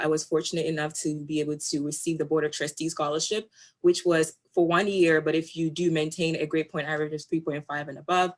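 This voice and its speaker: A young woman from Ghana, a recent graduate, giving her introduction on a panel in a halting, earnest way with many fillers. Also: low-pitched. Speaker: student panelist.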